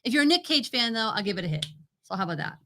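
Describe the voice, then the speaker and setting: Higher-pitched woman co-hosting a casual review show. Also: sing-song, very fast, bright, forceful tone, a little hiss or hum. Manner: opinionated, conversational, argues her point. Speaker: co-host of an entertainment review show. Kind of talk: casual film and book review chat.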